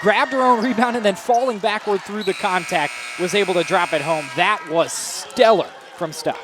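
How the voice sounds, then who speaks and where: A man's voice: sits high, moderate pace. A man working as color analyst on a live basketball broadcast from an arena, breaking down plays. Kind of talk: live analytical sports commentary. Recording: noisy live arena broadcast, bouncing balls.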